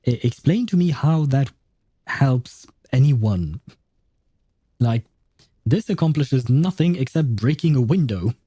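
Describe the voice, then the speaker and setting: Sardonic man with a very dark, mellow voice. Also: medium pitch, expressive, slow pace, pauses often, husky, no echo. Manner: sardonic asides. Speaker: game streamer. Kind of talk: reading game story aloud with casual commentary. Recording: background music behind voice.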